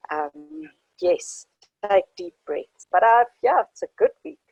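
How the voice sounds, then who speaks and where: A woman chatting on a group call, animated and quick to laugh. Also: high voice, creaky, no echo, muffled recording. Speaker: group participant. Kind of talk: casual chat on a group call.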